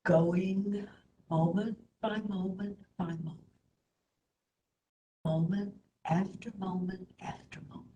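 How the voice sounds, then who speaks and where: A woman instructor leading a guided meditation in a soothing, gentle manner, with hushed phrase endings. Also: medium pitch, fairly even intonation, takes it slowly, pauses often, dull audio. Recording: roomy echo, faint background noise.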